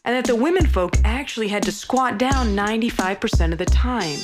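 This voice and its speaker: A woman reading a satirical comic monologue in a folksy, deadpan manner. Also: fairly high pitch, a little fast. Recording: loud instrumental music under voice. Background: instrumental music.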